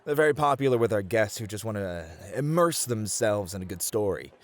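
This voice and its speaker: A man's voice: fairly low pitch, lively intonation, a little fast, natural voice. A man playing a sweet, easily flustered bunny host in an ASMR roleplay, speaking politely and a little bashfully. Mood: friendly, helpful. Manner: stammering, bashful, polite, accommodating.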